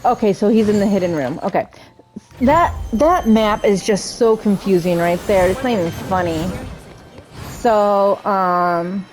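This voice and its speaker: A woman's voice: mid-range voice, unhurried, darkish tone, low-quality recording. A woman doing a casual video game playthrough, chatting and reading the dialogue aloud. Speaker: streamer playing a video game.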